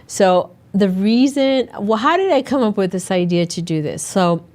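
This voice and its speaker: A middle-aged woman hosting her own podcast, her voice raspy and hoarse from a recent illness, talking earnestly and conversationally and often restarting her sentences. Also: medium pitch, animated, moderate pace, a little mellow. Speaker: podcast host and naturopathic doctor. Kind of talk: casual solo podcast talk.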